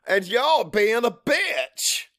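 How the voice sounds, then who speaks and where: An actor playing a crude, hot-tempered Cajun father who narrates his own story in a gruff, expressive audiobook style. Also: extremely high pitch, extremely slow, dry recording, exaggerated voice. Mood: angry. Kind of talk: first-person dramatic audiobook narration.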